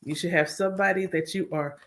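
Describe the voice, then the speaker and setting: A woman's voice: lowish voice, fairly even intonation, brisk. A woman and spiritual coach, congested, chatting casually and directly to her viewers about her own experience. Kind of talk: casual chat.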